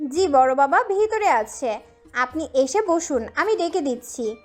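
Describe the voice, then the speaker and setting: A woman's voice: sits high, animated, unhurried, faint music behind. A woman playing a shy, easily flustered young village woman in a romantic audio story, with a hesitant manner.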